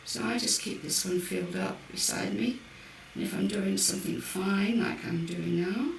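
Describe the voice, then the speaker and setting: A woman hosting a card-making demonstration, chatting through each step with a croaky voice. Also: medium pitch, normal speed. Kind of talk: casual craft demonstration. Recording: roomy, mic at a distance, faint background noise. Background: birds outside.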